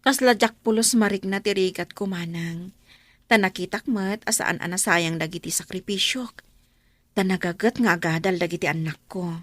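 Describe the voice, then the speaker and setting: A woman's voice: lowish voice, moderate pace, a bit bright, no room sound. A woman playing a long-suffering abandoned wife and mother in a radio drama, earnest and sorrowful. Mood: resigned. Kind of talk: Ilocano radio drama acting.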